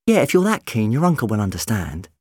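A storyteller voicing a direct, inquisitive young woman who is quick to interject, in an audiobook. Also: mid-range voice, wildly swooping pitch, darkish tone, husky, no echo, clean studio sound, voice pushed up. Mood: quick, tactful.

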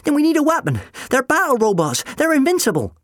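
A man's voice: very high-pitched, huge pitch swings, fast, a bit bright, dry recording, studio quality, pitched above the performer's own voice, heavily acted. A man voicing a frightened, anxious young man.

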